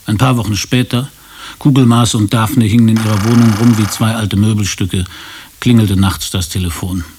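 A man's voice: on the low side, fairly even intonation, normal speed, a little mellow, a little hiss or hum. A man narrating a scripted radio drama in a dry, literary storytelling manner. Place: radio studio. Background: music.

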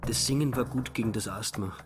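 A man's voice: fairly low pitch, a little fast, soft background music, close to an everyday voice. An actor playing a timid, childlike man in his forties in a radio play, speaking hesitantly and plaintively. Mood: wistful, brooding. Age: 40s. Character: timid, childlike younger brother turned hapless robber. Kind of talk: radio play dialogue and monologue.

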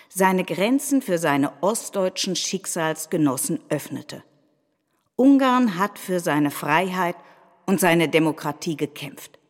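Stage reading: actress as proud, defiant nationalist leader addressing parliament. Low-leaning pitch, solemn oratory, long hall reverb. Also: female voice. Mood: accusing. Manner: solemn, declamatory, rhetorical repetition. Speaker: stage performer. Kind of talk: staged reading of a political speech.

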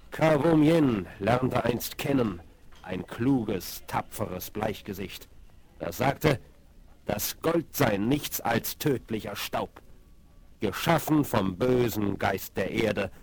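Solemn, gravelly warrior chief. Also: male voice, lowish voice, darkish tone, exaggerated voice. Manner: solemn, ceremonious, inverted word order. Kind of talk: 1970s German radio play acting. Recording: vinyl rip of 1970s radio play.

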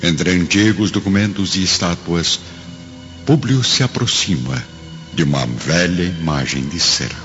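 A man's voice: deep voice, a little slow. A man narrating a radio drama from a script, setting the scene as storyteller. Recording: dull lo-fi audio under music.

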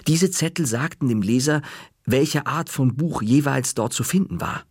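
A man narrating an audiobook in a measured, even storytelling manner, recorded in a studio. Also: mid-range voice, slightly rough, no room sound.

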